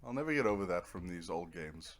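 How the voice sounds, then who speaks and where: Guy w a low voice chatting over his video game, rambly, kinda dry and self deprecating. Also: expressive, brisk. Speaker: video game let's player. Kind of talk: casual gameplay commentary, rambling.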